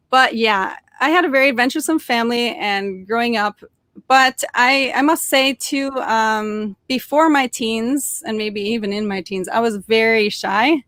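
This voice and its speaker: Young adult woman, podcast guest, cheerful storyteller, fairly high voice, close and dry. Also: a bit bright, dry recording. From born in Norway, raised in suburban Detroit, Michigan. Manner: chatty, cheerful, with frequent fillers and restarts. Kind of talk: casual interview storytelling.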